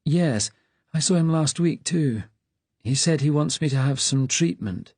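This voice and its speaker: A man doing a quiet, uneasy scientist patient. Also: on the high side, lively intonation, measured pace, no echo, voice pushed up. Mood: subdued.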